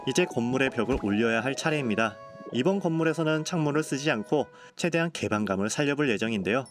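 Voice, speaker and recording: Calm man narrating over bright music. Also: medium pitch, fast talker. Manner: calm, polite explanatory tone. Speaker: game building vlogger. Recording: voice-over with clear background music.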